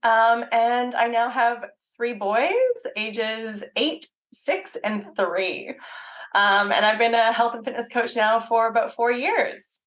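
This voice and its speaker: A woman in her thirties from Canada, with a Canadian accent softened by years in Australia, talking casually as an interview guest with plenty of ums and likes. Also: on the high side, steady tone, slow pace. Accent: Canadian with some Australian. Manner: chatty, frequent ums and likes, laughs easily. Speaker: guest, fitness coach.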